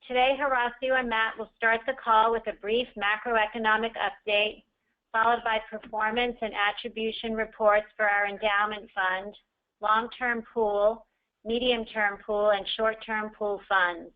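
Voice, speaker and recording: High-voiced woman moderating, dull call audio. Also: flat delivery, unhurried. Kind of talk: scripted introduction, formal announcement. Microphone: conference call line.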